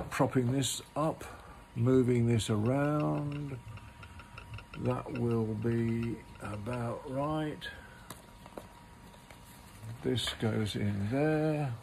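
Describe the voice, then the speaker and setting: A man narrates a children's book in a deliberate, theatrical way. His delivery is slow and gentle with a gravelly creak, and there is some noise in the background. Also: mid-range voice. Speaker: storyteller reading a children's book aloud. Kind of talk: expressive storybook narration.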